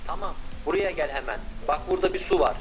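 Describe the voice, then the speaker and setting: A man voicing a confident male lead scientist who gives orders to his younger brother in a bossy but warm way. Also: fairly high pitch, expressive, fast, faint music behind, pitched above the performer's own voice, lightly acted. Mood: commanding.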